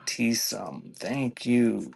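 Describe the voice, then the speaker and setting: A man's voice: mid-range voice, big pitch swings, drawn-out words, creaky, darkish tone, breathy edge. A man hosting a live online sale, chatting informally and thinking aloud, often trailing off mid-sentence. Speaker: live online auction host selling cassette tapes. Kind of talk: casual rambling livestream chat.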